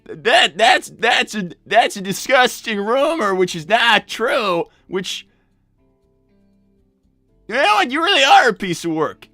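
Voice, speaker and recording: Young cartoon fox inventor, played by a man: bright, close dry voice, flustered, stuttering and exasperated, for improvised roleplay. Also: sits high, very animated, slow pace, no echo, faint music behind, full character voice. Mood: indignant. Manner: stammering, repeats words, exasperated. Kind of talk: improvised live character roleplay.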